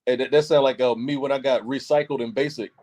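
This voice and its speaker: A man in his forties shares relaxed stories on a live-stream chat. He is easygoing and often laughs at his own tales. His voice sits in a middling pitch. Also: fast. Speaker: guest. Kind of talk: casual storytelling chat.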